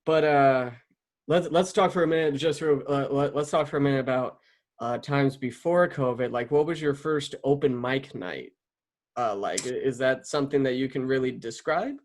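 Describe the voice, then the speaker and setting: Male podcast-style host, medium pitch, informal, hesitant and wordy. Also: moderate pace. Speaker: interviewer, host. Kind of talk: casual interview chat.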